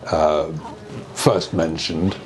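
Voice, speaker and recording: An older man giving an informal, measured explanation in conversation, often checking with rhetorical questions. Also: a bass voice, steady tone. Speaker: speaker on earth energies and ancient sites. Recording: noticeable background noise.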